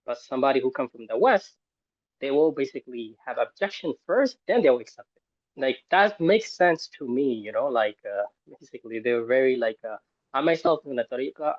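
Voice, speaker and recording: A man's voice: medium pitch, animated, fairly quick, no echo, lo-fi sound. A man taking part in a discussion, making informal personal remarks in non-native English with simplified grammar. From the East. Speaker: discussion participant.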